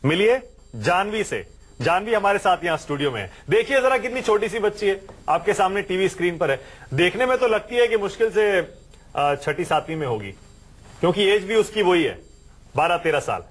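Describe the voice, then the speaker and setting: Brisk, slightly high-voiced male news anchor. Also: sing-song. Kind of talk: studio interview. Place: TV news studio.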